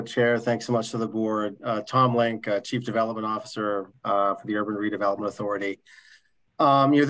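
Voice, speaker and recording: A man presenting as a development officer at a board meeting over a video call, halting, with many fillers and restarts. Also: on the low side, fairly bright tone, rough recording.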